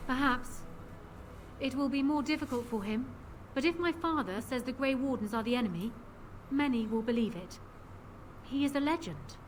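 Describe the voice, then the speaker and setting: A woman's voice: high voice, expressive, moderate pace. A woman playing a proud, calculating young queen in a fantasy video game, speaking formally and with assertive composure. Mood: grave, determined. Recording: game audio with clear background noise.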